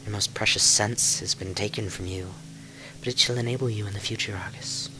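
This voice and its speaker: Man voicing a soft, mysterious female spirit. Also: on the low side, fairly even intonation, brisk, lightly acted, rough recording. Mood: calm, ominous.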